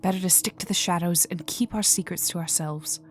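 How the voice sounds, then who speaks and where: A woman playing a cold, haughty vampire aristocrat in a dark ASMR roleplay, condescending and teasing, often dropping to a whisper. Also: on the low side, a little fast, close to an everyday voice. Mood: coolly amused. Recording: voice over dark ambient music. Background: dark atmospheric music with resonant tones.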